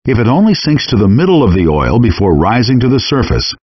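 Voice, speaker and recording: An actor playing a patient, friendly male chef who gives calm, measured step-by-step cooking instructions in a game, recorded as dull lo-fi game audio. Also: mid-range voice, breathy edge. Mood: instructive. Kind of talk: scripted game voice lines.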